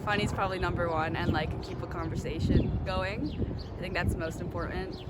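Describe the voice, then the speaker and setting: A woman's voice: on the low side, steady tone, normal speed. A young woman student from Ontario, answering a street interview hesitantly, searching for words. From Ontario, Canada. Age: young adult. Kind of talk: casual chat. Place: busy city street outdoors. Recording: loud street traffic noise.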